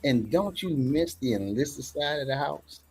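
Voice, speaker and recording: Husky-voiced man hosting with gusto. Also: mid-range voice, unhurried, poor audio. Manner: emphatic, repeats phrases, energetic interjections. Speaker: show host. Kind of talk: casual interview.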